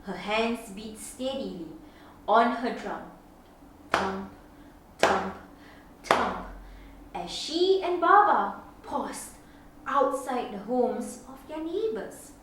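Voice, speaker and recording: A woman reading a picture book aloud to children as a storyteller, with deliberate, dramatic phrasing and expressive pauses. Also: on the high side. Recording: very echoey room, distant mic, faint noise.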